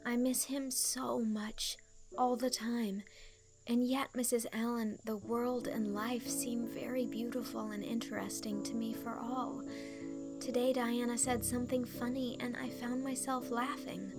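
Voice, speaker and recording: A woman's voice: fairly high pitch, flat delivery, unhurried, over music. A woman voicing a tender, grieving teenage girl in a storybook reading, earnest and wistful. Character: grieving, tender, dreamy orphan girl in her teens.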